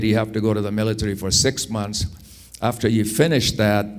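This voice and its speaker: A man in his sixties from Afghanistan with an Afghan accent, telling personal stories in an unhurried, anecdotal way, repeating phrases for emphasis. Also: lowish voice. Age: 60s. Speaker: speaker telling his life story. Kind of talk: personal storytelling.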